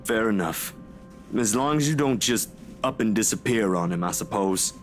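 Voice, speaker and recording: Actor as bashful guy friend, lively intonation. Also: lowish voice, normal speed, natural voice. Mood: supportive. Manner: informal, folksy, self-deprecating. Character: easygoing, bashful, supportive young male friend. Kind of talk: visual novel game acting. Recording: music behind the voice. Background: music.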